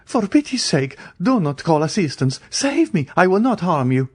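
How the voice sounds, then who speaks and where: A man's voice: high-pitched, animated, moderate pace, a little mellow, slightly rough, pitched above the performer's own voice. A man voicing a desperate young male fugitive who pleads wildly and then turns insolent. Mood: pleading. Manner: frantic, pleading, later insolent.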